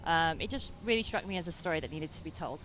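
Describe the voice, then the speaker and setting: A woman's voice: low voice, expressive, quick pace, fairly bright tone, a little hiss or hum, rough recording. A young adult woman from England with an English accent, answering interview questions as a film director, hesitant with many fillers and restarts.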